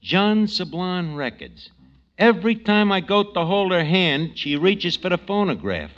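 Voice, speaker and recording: An actor playing a wisecracking, gloomy New York tavern manager in a 1940s radio comedy, slangy and grumbling with a New York accent. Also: male voice, high voice, measured pace. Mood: hesitant, aggrieved. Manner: slangy, ungrammatical, grumbling deadpan. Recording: muffled, noisy old radio recording.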